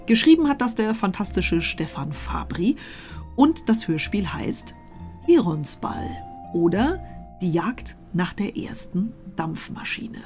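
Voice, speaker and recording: A woman's voice: medium pitch, sing-song, slow pace, darkish tone, soft background music. A middle-aged woman hosting an audio story channel, giving an enthusiastic introduction to her audience.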